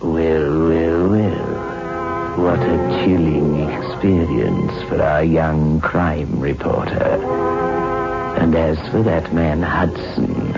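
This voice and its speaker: Very deep, creaky horror host. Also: male voice, steady tone, full character voice. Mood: gleefully mocking. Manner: slow, mocking, punning, chuckling. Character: sinister, gleefully macabre male horror show host. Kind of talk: 1960s horror radio hosting. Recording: muffled 1960s radio with music.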